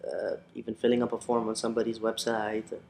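A man from Yemen, a photographer, talking casually as a guest on a podcast, relaxed and full of slang. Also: on the low side, quick pace, vocal fry, roomy sound, a little hiss or hum. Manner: relaxed, chatty, peppered with slang.

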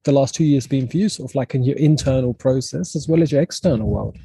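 Dark, breathy-voiced male podcast host. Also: medium pitch, dry recording. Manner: halting, searching for words with restarts. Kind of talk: conversational interview.

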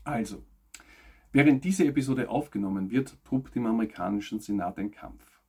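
A man reads scripted news as a presenter, in measured, emphatic phrases that fall away at sentence ends. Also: fairly low pitch, darkish tone, slightly rough.

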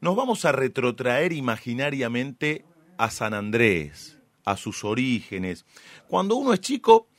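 A man with an Argentine accent hosting a radio interview in formal, admiring, deliberately phrased speech. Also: medium pitch, big pitch swings. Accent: Argentine Spanish.

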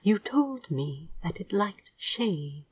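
A woman voicing a gentle, fussy, ancient male angel bookseller in an audiobook, speaking tenderly and softly, often close to a whisper. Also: on the low side, very animated, slow pace, slightly rough, no echo, exaggerated voice. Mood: kindly tactful. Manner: tender, soft, often dropping into near-whisper. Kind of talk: audiobook character dialogue.

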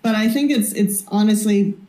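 A woman's voice: medium pitch, normal speed, dark tone, reverberant. A female co-host on an esports talk show, casually building her argument point by point. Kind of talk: casual opinion chat.

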